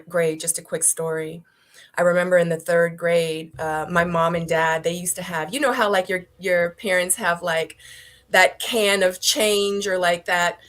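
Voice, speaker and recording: A woman in her thirties, born in South Korea and raised in the United States, speaks as a guest in a relaxed interview. She talks at a low-ish pitch and fills her pauses with ums. She laughs easily. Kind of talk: casual interview conversation.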